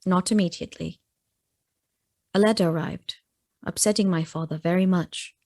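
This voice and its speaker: A young actress playing a reserved, melancholy gentlewoman in a radio play, speaking in a measured, formal and guarded way. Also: lowish voice, steady tone, dry recording, clean studio sound, natural voice. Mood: subdued, reflective. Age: young adult.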